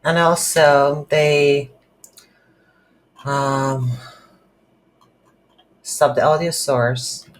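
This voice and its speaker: A non-native English speaker giving an unscripted coding tutorial, thinking aloud in a halting way while working at a computer. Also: medium pitch, steady tone. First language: not English. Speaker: programming tutorial instructor. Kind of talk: screen-recorded coding tutorial, unscripted explanation. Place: indoors at a computer.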